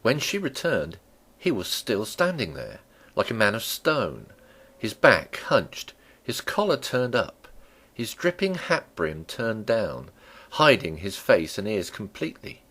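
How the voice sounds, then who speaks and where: A man narrating a classic novel as a dramatic reading, with theatrical, deliberate phrasing. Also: lowish voice, huge pitch swings, a bit bright, husky. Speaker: audiobook narrator.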